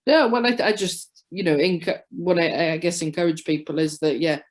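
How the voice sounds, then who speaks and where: A woman sharing her story as a guest on a video call, halting with many restarts. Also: lowish voice. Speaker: webinar guest.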